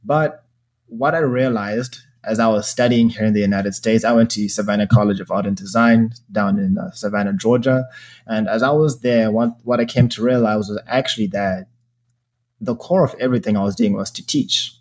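A man's voice: fairly low pitch, fairly even intonation, normal speed, a little mellow. A man from Zimbabwe hosting a podcast, sharing his faith in casual, earnest talk. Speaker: podcast host.

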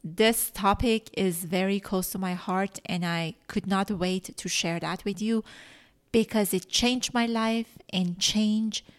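A woman whose first language is Farsi, hosting a solo coaching podcast in an earnest, measured teaching tone with soft whispered stresses. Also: medium pitch, steady tone. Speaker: life coach and podcast host.

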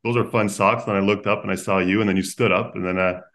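A Canadian man with a fairly low voice tells stories as a podcast guest. He sounds upbeat and talks in long, run-on sentences. He seems to be in his thirties. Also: steady tone, quick pace, fairly bright tone. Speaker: podcast guest, food-tech founder. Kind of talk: conversational storytelling.

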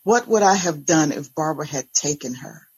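A woman's voice: deep voice, animated, normal speed, a little mellow, breathy edge, low-quality recording. A woman reading a first-person dramatic story as its narrator.

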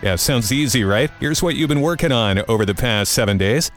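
A man's voice: medium pitch, animated, brisk. A man hosting a morning-radio style podcast with a wry, joking, conversational delivery. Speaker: podcast host. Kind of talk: morning-radio show banter and quips. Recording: dry studio with music bed.

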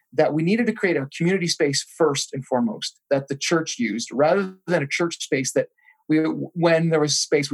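A man's voice: on the high side, husky. A man who is a pastor, guest on a podcast, talking earnestly and reflectively about his calling. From grew up in Thailand. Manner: earnest, reflective, with some restarts. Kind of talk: interview guest answering.

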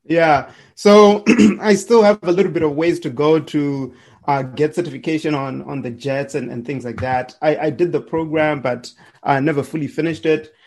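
Upbeat man, slightly high voice. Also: steady tone, moderate pace, a little mellow. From Zimbabwe. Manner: upbeat, chuckling, restarts words, clears throat. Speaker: podcast guest, entrepreneur. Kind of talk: casual storytelling interview.

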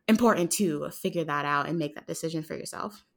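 A young woman talking in a relaxed, thoughtful podcast chat, with lots of "like" fillers. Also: low-pitched, brisk. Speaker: guest.

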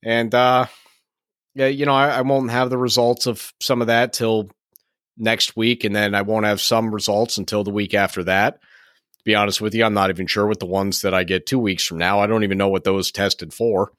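A younger man talks alone on his podcast, his voice a bit hoarse and raspy and sitting on the low side. He rambles, restarting sentences and filling gaps with ums. The sound is dry and close, with no room around it. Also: flat delivery, moderate pace, a bit bright, dry recording. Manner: rambling, frequent restarts and fillers. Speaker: podcast host. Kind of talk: solo podcast chat.

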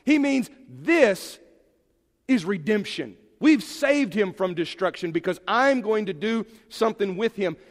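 Animated preacher in a reverberant hall. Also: male voice, high voice, a little slow. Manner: animated, emphatic preaching with sudden drops to quiet. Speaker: pastor. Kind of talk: sermon.

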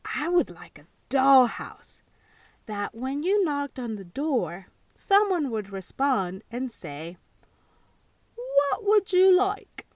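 A sensible, slightly bossy young girl lays down the rules in a high, lively voice, as a woman plays her. She speaks slowly and matter-of-factly in a storybook tale. The recording is dry and a little distant. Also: no room sound, heavily acted. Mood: insistent.

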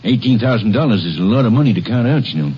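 An actor playing a flustered, trusting lumber mill superintendent in a 1950s radio western, earnest and hesitant. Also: male voice, medium pitch, expressive, fast, close to an everyday voice. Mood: worried, flustered. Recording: muffled old radio, faint noise.

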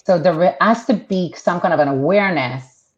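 A middle-aged woman from Israel with Hebrew as her first language talks in a very low voice. She chats loosely, full of "um" and restarts. The sound is dull and lo-fi. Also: normal speed. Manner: chatty, many fillers and restarts. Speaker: realtor guest. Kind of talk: casual interview talk.

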